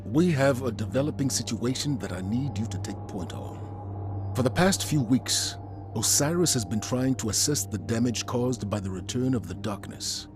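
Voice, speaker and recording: A man playing a solemn veteran commander in a video game, speaking in a measured, deliberate way as he gives a mission briefing. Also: mid-range voice, expressive, close to an everyday voice. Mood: grave, urgent. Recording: music under the voice. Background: music.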